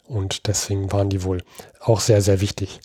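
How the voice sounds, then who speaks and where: A man from Berlin co-hosting a history podcast, explaining at length and halting often with fillers. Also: deep voice, flat delivery, warm tone, slightly rough, dry recording. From Berlin, Germany. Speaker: podcast co-host. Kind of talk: casual history podcast chat.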